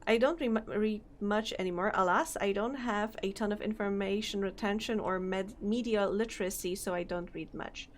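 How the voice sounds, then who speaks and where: Chatty slightly hoarse young woman streamer. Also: mid-range voice, normal speed, hardly any pauses, slight background noise. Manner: chatty, reads game text aloud, laughs often. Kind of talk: casual let's-play commentary.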